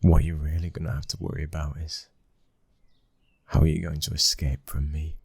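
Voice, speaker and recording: Very deep, husky British mafia lover played by a man. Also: little pitch movement, moderate pace, a little mellow, no room sound, exaggerated voice. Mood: firm, protective. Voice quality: husky, breathy, often near whisper. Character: brooding young mafia boss's son, protective, obsessive lover. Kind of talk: intimate ASMR boyfriend roleplay.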